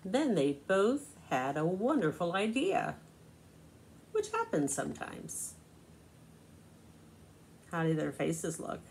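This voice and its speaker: A woman in her sixties reading a picture book aloud to young children, deliberate and expressive, pausing to explain. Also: on the low side, gravelly. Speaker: children's storybook reader. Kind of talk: read-aloud storytelling to young children with asides. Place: small living room, indoors. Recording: noisy amateur home recording.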